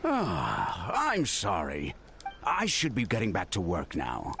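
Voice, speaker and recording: A man's voice: low-pitched, wildly swooping pitch, slow, vocal fry, fairly bright tone, slight background noise, heavily acted. A man playing a worried, apologetic father in a video game. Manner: apologetic, concerned.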